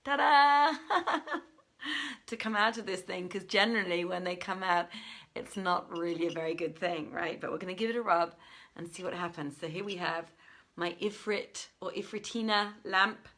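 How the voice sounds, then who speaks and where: A woman's voice: mid-range voice, normal speed, a bit bright. A middle-aged woman hosting a live-streamed reading, chatting casually and playfully to viewers with theatrical, giggly asides. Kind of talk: casual livestream chat greeting viewers.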